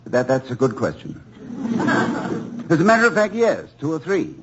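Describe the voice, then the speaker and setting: An actor playing a genial, self-deprecating male professor in a 1950s radio drama, speaking with a husky voice. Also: fairly high pitch, huge pitch swings, moderate pace, background noise. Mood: wry. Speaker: college professor. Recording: dull lo-fi old radio broadcast.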